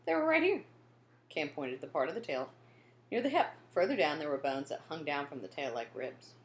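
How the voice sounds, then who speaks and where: A woman reading a children's book aloud in an expressive storytelling style. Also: sits low, fairly quick, reverberant. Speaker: storybook reader.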